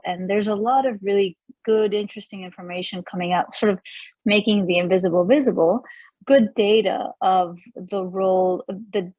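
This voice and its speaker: Female moderator from Peru, halting delivery, low-pitched, dry and close. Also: darkish tone, dry recording, rough recording. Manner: hesitant, searching for words with many fillers. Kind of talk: virtual panel discussion.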